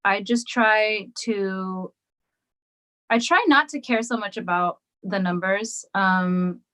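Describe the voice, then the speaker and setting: A woman who is a musician guest on a podcast, answering in a reflective, hesitant way with many pauses and restarts. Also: medium pitch, bright, forceful tone. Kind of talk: casual interview chat.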